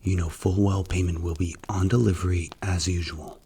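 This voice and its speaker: A man playing a strict, commanding mafia boss in an ASMR roleplay, speaking in a stern, deliberate, clipped way. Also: deep voice, little pitch movement, warm tone, slight background noise. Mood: stern, businesslike.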